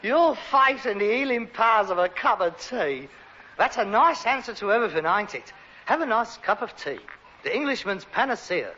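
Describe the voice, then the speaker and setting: A man's voice: high voice, animated, moderate pace, sharp tone, heavily acted. A man playing an exasperated, pretentious Cockney rag-and-bone man, a put-upon grown son in a sitcom, whining and indignant. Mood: indignant ranting. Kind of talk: British sitcom acting. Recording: dull, noisy old sitcom recording.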